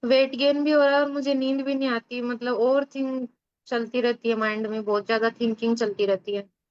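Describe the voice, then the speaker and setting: High, clear young woman on a call. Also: steady tone, moderate pace, no room sound, dull audio. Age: 20s. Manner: polite, listing symptoms, soft and hesitant. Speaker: patient, recent Ayurveda graduate. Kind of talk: casual consultation answers. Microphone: video call.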